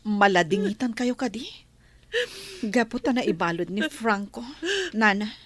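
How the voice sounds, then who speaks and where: Slow, husky, consoling household lady. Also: mid-range voice, fairly bright tone. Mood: soothing. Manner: soothing, sympathetic, measured. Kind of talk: serial drama acting.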